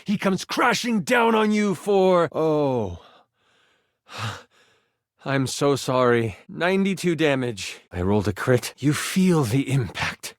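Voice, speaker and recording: A man playing an excitable game master in a video game, narrating a fantasy adventure with theatrical, suspenseful expression. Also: on the high side, takes it slowly, dry recording, clean studio sound. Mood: tense. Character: personified twenty-sided die, an excitable male game master. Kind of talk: dramatic tabletop fantasy narration.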